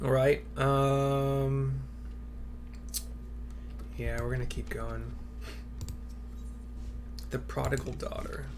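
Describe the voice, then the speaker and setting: A man narrates his game in an offhand, halting ramble. His pitch is middling, and there is clear background noise with keyboard typing. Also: flat delivery. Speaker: video game streamer. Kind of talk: casual gameplay commentary.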